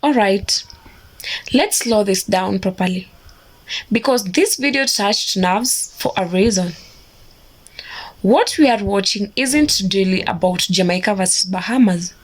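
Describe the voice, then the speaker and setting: A woman hosting a reaction channel, narrating in a calm, measured, scripted way. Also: medium pitch, low-quality recording. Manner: measured, explanatory.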